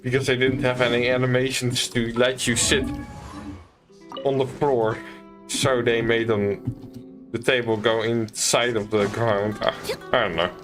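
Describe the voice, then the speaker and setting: A man giving casual, rambling commentary as he streams a video game, in a halting way. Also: mid-range voice, flat delivery. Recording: game music behind the voice.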